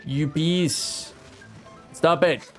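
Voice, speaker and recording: A man giving casual live commentary as a game streamer, reacting out loud and swearing freely. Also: fairly high pitch, fairly even intonation, very slow. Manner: profane, reactive, talks to game and viewers. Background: game music.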